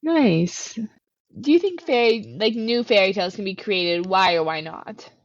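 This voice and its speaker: A woman's voice: mid-range voice, expressive, unhurried, dry recording. A woman co-hosting a podcast, chatting casually and informally with some slang. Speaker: podcast co-host, author. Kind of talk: casual interview chat. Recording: dull, slightly lo-fi microphone.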